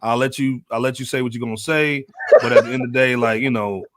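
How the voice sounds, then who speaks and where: A man's voice: mid-range voice, fairly even intonation, quick pace, fairly bright tone. A man co-hosting a hip hop podcast, talking casually in slang and often repeating his phrases. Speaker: podcast co-host.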